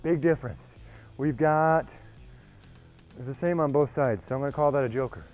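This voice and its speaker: A man's voice: mid-range voice, moderate pace, a little mellow, faint music behind. A man leading a workout while giving a motivational talk, conversational and emphatic, with frequent check-ins and some breathlessness from exertion. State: exerting, getting winded. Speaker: fitness trainer leading a workout. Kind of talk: motivational talk during exercise.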